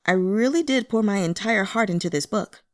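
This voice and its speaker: A man's voice: high voice, normal speed, fairly bright tone. A woman voicing a humbled, eager male would-be author in an audiobook, hesitant and deferential. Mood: polite, pleading. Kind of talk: audiobook character dialogue.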